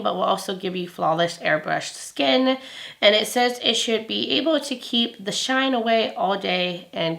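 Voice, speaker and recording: Raspy gravelly woman in her 30s, chatty beauty vlog at home, mic up close. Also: mid-range voice. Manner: chatty and upbeat, often drops to a quieter tone while applying. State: raspy voice recovering from laryngitis. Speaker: beauty vlogger. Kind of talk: casual makeup review vlog. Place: home filming room with shelves and vanity lights.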